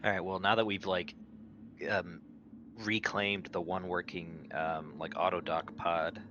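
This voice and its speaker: A man's voice: low voice, little pitch movement, a little slow, pushed and bright. A man playing a tabletop roleplaying game, chatting casually about what his character will do. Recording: dull lo-fi audio, faint noise.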